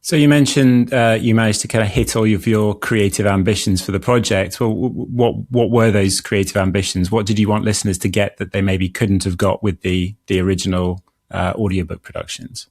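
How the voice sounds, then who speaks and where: A man's voice: on the low side, steady tone, brisk, hardly any pauses, darkish tone, no echo, studio quality. A man hosting an interview, putting questions to guests in a conversational way.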